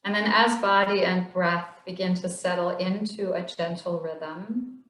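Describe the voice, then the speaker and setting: Slow, gentle woman guiding meditation. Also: fairly low pitch, fairly even intonation, roomy sound, low-quality recording. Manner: slow, gentle, soothing guidance with long pauses. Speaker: meditation instructor. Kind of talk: guided body scan meditation.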